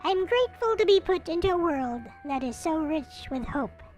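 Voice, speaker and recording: Despairing youthful visual novel narrator, read by a woman, high and swooping, deliberate and dramatic, over game music. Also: expressive, darkish tone. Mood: wistful. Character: despairing young narrator losing eyesight, wistful and bitter. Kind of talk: reading visual novel text aloud.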